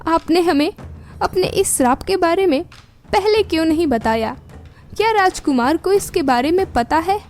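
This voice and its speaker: A woman's voice: very high voice, animated, moderate pace, darkish tone, soft background music. A woman voicing an angry, commanding queen in a cartoon story, imperious and indignant.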